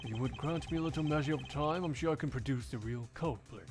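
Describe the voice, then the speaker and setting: Game prosecutor, man performing: mid-pitched, formal, measured, stiffly courteous, composed under accusation. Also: soft background music, close to an everyday voice.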